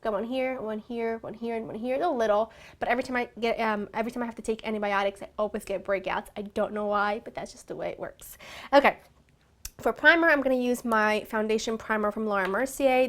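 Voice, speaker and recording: A woman in her thirties, a little raspy from a cold, chatting through a makeup tutorial to her viewers from a small room at home. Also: fairly high pitch. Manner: chatty, gushing, warm address to viewers. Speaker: beauty vlogger.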